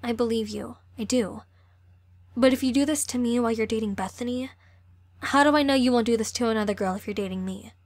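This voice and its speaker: Woman as cautious teenage girl, high voice, earnest, amateur audio drama, dry recording. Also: fairly quick, no echo, close to an everyday voice. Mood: earnest, hesitant. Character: earnest, cautious sixteen-year-old girl in love.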